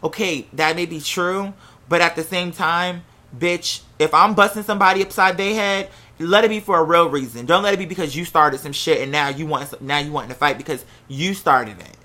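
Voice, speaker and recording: An American podcast host telling a personal story casually, in an animated, candid and profanity-laced way. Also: medium pitch, fairly quick. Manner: acts out quoted remarks while telling.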